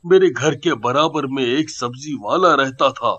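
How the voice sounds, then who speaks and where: A man performs a vengeful, boastful male ghost from a spooky story. He speaks slowly, with a forceful, cutting edge and a gloating manner. The sound is slightly dull and lo-fi. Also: medium pitch. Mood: bitter, wounded. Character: menacing cobbler ghost, bitter, boastful, male. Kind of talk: dramatised Hindi horror storytelling.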